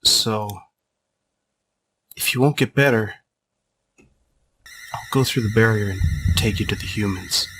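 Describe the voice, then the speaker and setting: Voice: medium pitch, slow, long pauses, fairly bright tone, slight background noise, low-quality recording. An actor playing a moody, guilt-ridden teenage monster prince in an amateur comic dub, hesitant and brooding. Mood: guilty, subdued.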